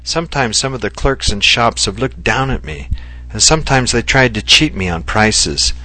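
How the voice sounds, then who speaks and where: A man voicing a gentle, loving Japanese wife who speaks earnestly and reflectively. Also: medium pitch, expressive, normal speed, rough recording. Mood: earnest, tender. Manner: earnest and heartfelt, softly reflective.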